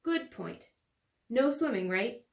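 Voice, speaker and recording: A woman voicing a patient, practical mother in a storybook reading, speaking in a gentle, matter-of-fact way. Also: on the high side, lively intonation, slow, gravelly, reverberant, exaggerated voice. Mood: patient, dry.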